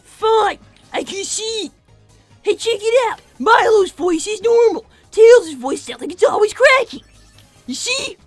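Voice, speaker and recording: A woman's voice: very high voice, lively intonation, a little slow, no echo, faint music behind. A woman voicing a bratty, blunt five-year-old cartoon boy who is demanding and melodramatic, in an improvised comedy livestream. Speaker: livestream co-host in character.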